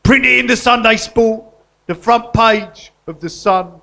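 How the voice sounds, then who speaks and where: An actor playing a matey, sentimental guerrilla artist convict in a live comedy radio drama. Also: male voice, very high-pitched, unhurried, gravelly, pushed and bright, heavily acted. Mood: mock-solemn. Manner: matey, colloquial, earnest.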